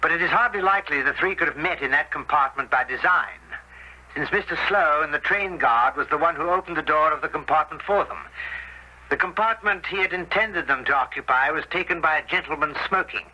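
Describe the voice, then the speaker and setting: An actor playing a measured, matter-of-fact police inspector in a radio drama, formal and deliberate. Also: male voice, on the high side, sharp tone, muffled recording. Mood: formal, careful. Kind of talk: 1970s radio drama acting and narration.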